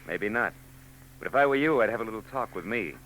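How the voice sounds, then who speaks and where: An actor playing a dry, wisecracking, world-weary private detective in a 1940s radio drama, on an old broadcast recording with music. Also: male voice, lowish voice, lively intonation, fast, a bit bright, slight background noise, poor audio. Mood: wry. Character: wry, world-weary male private eye.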